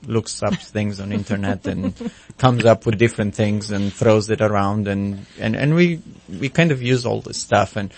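A man in his thirties with a Romanian accent, a physician guest speaking haltingly in a casual radio interview, full of ums and restarts. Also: on the low side, a little mellow.

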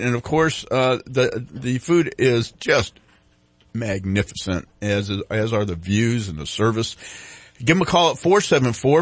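A man's voice: mid-range voice, no echo. A male host of a local morning talk radio show in Northern California, chatting loosely with many fillers and restarts, and reading live ads. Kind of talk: casual morning radio chat and live ad reads. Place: radio studio. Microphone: studio microphone.

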